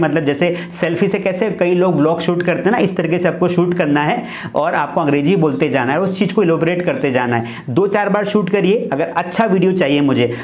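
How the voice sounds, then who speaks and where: Young indian guy teaching, kinda high voice, explains stuff casually, echoey room. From Madhya Pradesh, India. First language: Hindi. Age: 20s. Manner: explanatory, instructive, with example sentences. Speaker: English teacher, host. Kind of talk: informal lesson to camera, mixing Hindi and English. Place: small furnished room at home. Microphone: clip-on lapel microphone. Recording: roomy indoor echo.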